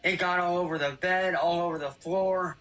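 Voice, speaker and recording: Guy with a high voice venting and cussing, echoey room sound. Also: fairly even intonation, moderate pace, a bit bright, highs cut off, slight background noise. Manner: angry, profane venting. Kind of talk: casual venting in a video.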